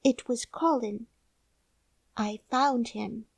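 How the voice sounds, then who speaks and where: A woman's voice: on the high side, takes it slowly, gravelly, a little mellow, husky, dry recording, voice pushed up, heavily acted. A woman voicing an earnest, outspoken young girl in a storybook reading.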